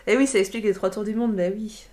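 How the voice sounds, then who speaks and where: A woman's voice: medium pitch, steady tone, fast talker, darkish tone. A woman in her late thirties co-hosting a casual live stream, chatting and reacting to viewer messages. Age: late 30s. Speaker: livestream co-host. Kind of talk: casual livestream chat. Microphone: webcam livestream.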